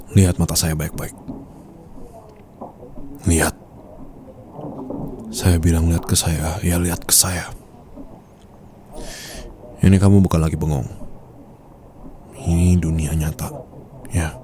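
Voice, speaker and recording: Low-voiced possessive boss played by a man. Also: mellow, slight background noise. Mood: insistent, forgiving. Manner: close, coaxing, insistent, with short prompting questions. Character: possessive, teasing male company boss, commanding yet forgiving. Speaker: ASMR roleplay performer. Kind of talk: intimate ASMR roleplay addressed to the listener.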